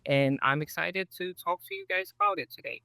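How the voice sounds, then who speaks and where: A man talks as a podcast guest from home, telling childhood stories in a friendly, reflective way. His voice is smooth and clear and sits a touch high. The sound is close and dry. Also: brisk, pushed and bright, no room sound. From Kazakhstan. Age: 30s. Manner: friendly, reflective storyteller with frequent "uh" and "so". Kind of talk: casual interview chat.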